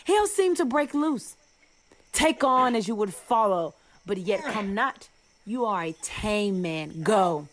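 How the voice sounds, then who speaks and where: Woman playing a taunting young rival suitor, lively pitch. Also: takes it slowly. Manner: challenging, theatrical verse delivery. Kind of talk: amateur Shakespeare radio play acting.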